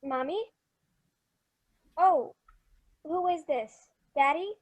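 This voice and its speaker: A woman acts out a little girl in a radio play. The voice is high and young. The child is inquisitive and stubborn, with an anxious edge. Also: takes it slowly. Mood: insistent, curious. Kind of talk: old-time radio drama acting.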